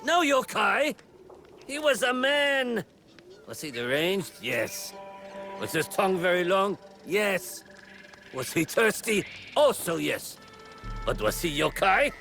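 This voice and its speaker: A man's voice: high-pitched, very animated, takes it slowly, gravelly, faint music behind, exaggerated voice. An actor playing a weary, exasperated old man in a video game, with a theatrical, emphatic delivery. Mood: indignant, emphatic. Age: older. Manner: theatrical, emphatic, swinging between mutters and shouts. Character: exasperated, weary old male investigator of monster rumors.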